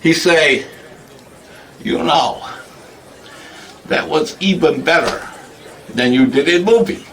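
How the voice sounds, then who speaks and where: A man's voice: fairly high pitch, slow pace, pauses often, fairly bright tone, distant mic, a little hiss or hum, poor audio. A man performing a comic character named Igor in put-on broken English, rambling and laughing a lot. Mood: boastful. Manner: broken grammar, frequent laughing. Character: foreign male hunchback-type comic Igor, boastful. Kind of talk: comic monologue.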